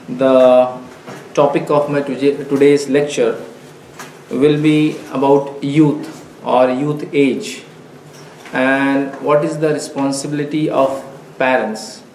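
A man's voice: medium pitch, a little mellow. Earnest lecturer, Urdu his first language. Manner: careful, deliberate, earnest. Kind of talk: religious lecture. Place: mosque meeting room. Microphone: headset mic. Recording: echoey room, faint hiss.

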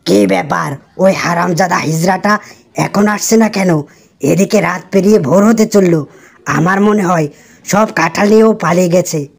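A woman's voice: lowish voice, normal speed, vocal fry. A woman voicing a greedy, stingy tigress who scolds and bosses others around. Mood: suspicious. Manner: scolding, coarse and bossy.

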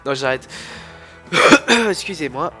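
A man's voice: a little high, animated, takes it slowly, sharp tone, soft background music. A young man with a cold who coughs now and then, chatting casually and rambling through his commentary on a strategy game, full of fillers and restarts. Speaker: gaming YouTuber.

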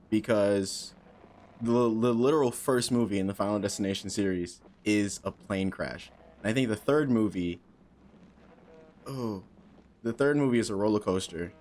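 A man's voice: on the low side, a little mellow. Jittery young man playing a horror game. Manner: jumpy, talks to himself, joking nervously. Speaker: gaming streamer. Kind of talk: casual horror game commentary. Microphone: headset.

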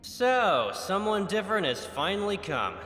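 Casting: tired but defiant male wolf prisoner, lightish lively voice, long reverb, game drama. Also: high-pitched, a little slow, full character voice. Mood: weary sarcasm. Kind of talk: dramatic video game voice acting. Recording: echoey cell reverb over music.

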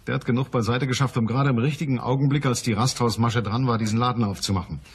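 An actor playing a dry, commanding private detective in a crime radio play, matter-of-fact and wry. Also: male voice, medium pitch, fairly even intonation, fast talker, slightly rough. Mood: businesslike. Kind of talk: German crime radio play, dialogue and narration.

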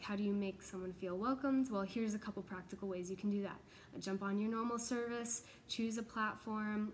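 A woman's voice: medium pitch, a little hiss or hum. A young American woman giving an earnest, explanatory, informal video update as a church pastoral associate. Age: young adult. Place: large open indoor office space with exposed ductwork.

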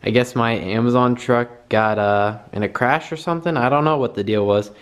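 A young man giving a relaxed, rambling vlog update, filming himself with a handheld camera at arm's length outdoors and in a garage, with plenty of fillers. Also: mid-range voice, fairly quick, clean tone. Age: young adult. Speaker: vlogger. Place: outdoors at a storage lot, in a truck, and in a home garage.

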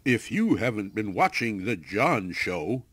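A man's voice: on the low side, big pitch swings, a little slow, no room sound. A man doing a playful, hyped voice-over for a mock TV show promo. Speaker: promo announcer. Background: loud music.

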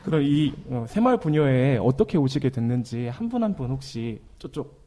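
A man in his twenties hosts a community radio interview. His voice is soft-edged and medium in pitch. He is polite, and his phrasing often halts and starts again. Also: big pitch swings, moderate pace. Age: 20s. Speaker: radio host.

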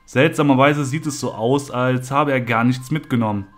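A man's voice: medium pitch, normal speed, faint music behind, natural voice. A man voicing a matter-of-fact, reassuring police officer in a horror story.

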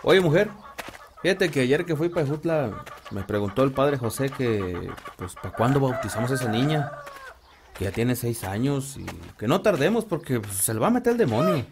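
An actor playing a gruff, grumbling peasant father with a rural Mexican accent in an audio drama. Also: medium pitch, big pitch swings, normal speed. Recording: clear farmyard background noise.

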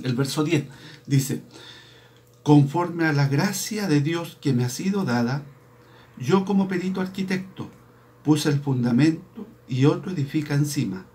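Slow-preaching man clearing his throat. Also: medium pitch, a little mellow. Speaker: preacher's assistant. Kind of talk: slow sermon with scripture reading. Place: small indoor room at home. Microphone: webcam at desk, video call framing.

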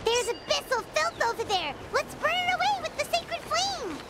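A woman voicing a small, cheerful, girlish fairy companion in a video game, with a squeaky childlike voice. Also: very animated, moderate pace, very noisy. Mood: eager. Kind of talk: animated video game acting. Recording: loud game music behind.

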